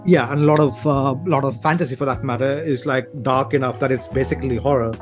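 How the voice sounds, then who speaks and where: A man's voice: mid-range voice, steady tone, fast, faint music behind. A man from Sri Lanka speaking casually as an interview guest, thinking aloud in hesitant, broken phrases.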